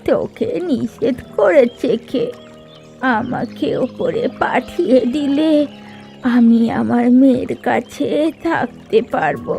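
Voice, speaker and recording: Creaky, slow, defiant old village woman played by an actress. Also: a little high, expressive, dark tone, faint music behind, full character voice. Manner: slow, taunting, dramatic. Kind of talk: cartoon fairy-tale voice acting.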